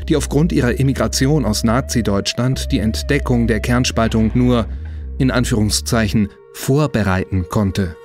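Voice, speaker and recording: A man reading scripted narration for an audio feature. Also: mid-range voice. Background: music behind the voice.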